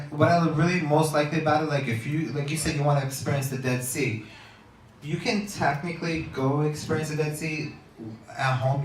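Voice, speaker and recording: A man in casual radio chat as a guest, rambling with many fillers and restarts. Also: mid-range voice. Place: outdoors on a beach. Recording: roomy, distant mic, faint noise.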